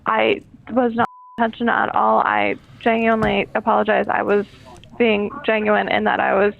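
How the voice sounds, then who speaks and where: A woman's voice: mid-range voice, little pitch movement, normal speed, vocal fry. A woman working in customer service, speaking politely and hesitantly over the telephone in an apologetic way. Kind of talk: customer service phone call. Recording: muffled, band-limited phone line.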